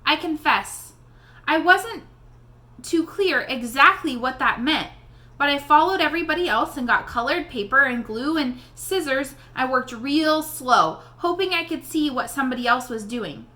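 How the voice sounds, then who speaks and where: A woman reading a children's novel aloud in an expressive storybook style. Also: sits high, moderate pace. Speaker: read-aloud reader addressing young listeners.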